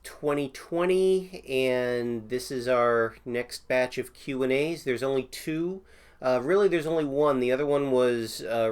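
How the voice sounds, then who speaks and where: A man's voice: mid-range voice, smooth. A man getting over a cold, now and then coughing, hosting a casual question-and-answer video about insurance as an agent, thinking aloud with many fillers. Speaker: Medicare insurance agent host.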